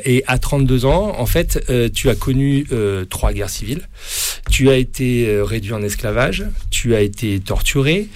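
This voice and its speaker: A man hosting a radio interview, talking in long rambling sentences full of restarts and fillers. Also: medium pitch. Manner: rambling, many restarts and fillers, warmly admiring. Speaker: radio host.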